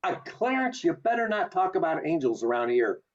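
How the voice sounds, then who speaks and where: A woman's voice: fairly low pitch, very animated, fairly quick, slight background noise. A woman acting a bewildered, agitated everyman in a radio play, stammering and urgent, over a video call. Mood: concerned. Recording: dull, lo-fi video call audio.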